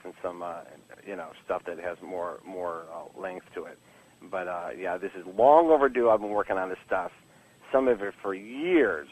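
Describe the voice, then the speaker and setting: A man's voice: deep voice, low-quality recording. A man speaking casually and candidly as a guest in a podcast interview, rambling with frequent false starts and a joking streak. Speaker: comic book writer being interviewed.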